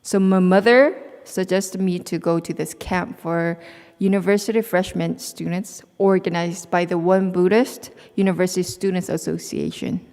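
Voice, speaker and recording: A woman's voice: fairly low pitch, steady tone, normal speed, a little mellow, in a large hall. A young woman in her twenties with a Korean accent, earnestly telling her personal story to a gathering, with small restarts as she reads. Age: 20s. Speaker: student speaker. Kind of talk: prepared personal talk.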